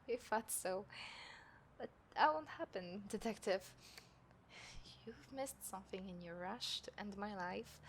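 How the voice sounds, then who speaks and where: Slow-spoken, smug woman killer, acted out by a woman. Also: medium pitch, lively intonation. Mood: taunting. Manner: slow, deliberate, theatrical, breathy drops to near-whisper. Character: taunting, smug female serial killer, playful and predatory. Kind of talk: scripted audio roleplay addressed to the listener. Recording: clear background noise, city ambience effects.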